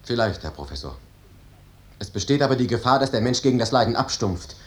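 A man's voice: medium pitch, very animated, a little fast, natural voice. An actor playing an earnest husband pleading for his suffering wife in a 1950s German radio drama, reproachful and insistent. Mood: accusing.

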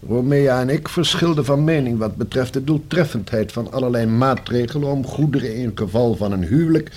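Give this voice to a discreet, pedantic male notary played by an actor in a radio play. His pitch is average for a man, and the tone is a little husky. He sets out legal matters in long, careful, formal sentences. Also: fairly quick, darkish tone, close to an everyday voice. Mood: formal, measured. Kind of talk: Dutch radio play acting.

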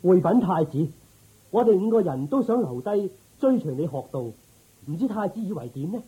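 A man's voice: sits high, very animated, normal speed, mellow, breathy edge, exaggerated voice, poor audio. A man playing a loyal, reverent royal minister in a Cantonese radio drama, formal and deferential in his speech. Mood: eager, reverent.

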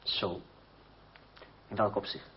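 An actor playing a proud, cool young gentleman fencing master in a radio play, speaking formally with measured irony. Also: natural voice. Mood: cool, guarded. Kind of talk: Dutch radio play acting. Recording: dull, noisy old radio recording.

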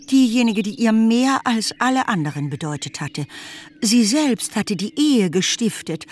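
A man narrating a literary radio play as its storyteller. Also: sits high.

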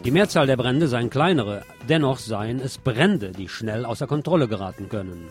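A man's voice: mid-range voice, lively intonation, moderate pace, soft background music. A man presenting scripted news commentary as a radio-style narrator.

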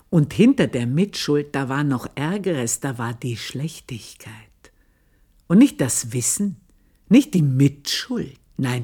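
A low, husky, brooding Austrian housemaid. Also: female voice, a little mellow, exaggerated voice. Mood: anguished, intense. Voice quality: husky, breathy, often dropping to a whisper. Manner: slow, brooding, intense confession. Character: guilt-ridden, vengeful housemaid confessing her wickedness. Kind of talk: German radio play monologue.

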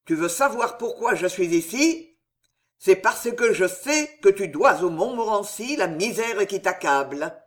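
A reader voicing a frenzied, desperate young nobleman who speaks loudly and vehemently in an old-fashioned storybook. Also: mid-range voice, animated, normal speed, few pauses, natural voice. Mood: furious. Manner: loud, vehement, near shouting.

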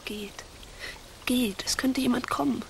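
A woman's voice: fairly high pitch, fairly even intonation, measured pace, creaky, slight background noise. An actress playing a proud, spirited young noblewoman in a radio play, speaking formally and dramatically. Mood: urgent, hushed.

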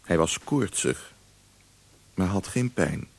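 A man narrating a radio drama as a storyteller, measured and expressive in a scripted literary style. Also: low-pitched, breathy edge.

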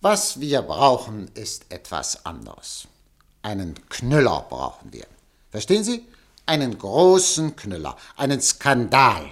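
A man's voice: medium pitch, wildly swooping pitch, slow pace, heavily acted. A cynical newspaper boss in a radio drama, his voice swinging widely up and down. He is oily and evasive, theatrically playing the innocent while he schemes. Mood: grandiose, scheming. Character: cynical, wily male newspaper boss hungry for scandal. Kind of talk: German radio play acting.

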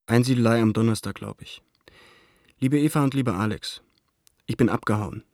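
An actor playing an embittered, indignant painter, venting a letter aloud in an agitated, sardonic and articulate way for a radio play. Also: male voice, mid-range voice, normal speed, darkish tone, no room sound, clean studio sound, natural voice.

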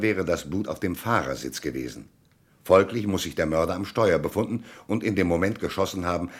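A man's voice: low voice, breathy edge, exaggerated voice. An actor playing a shrewd, insistent police commissioner in a radio crime drama, methodical and declamatory as he interrogates.

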